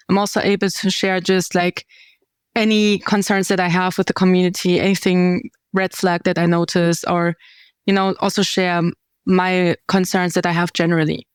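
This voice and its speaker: A woman's voice: fairly low pitch, smooth, no room sound, clean studio sound. A woman speaking as a podcast guest, explaining her community and searching for words as she goes. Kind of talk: conversational podcast interview.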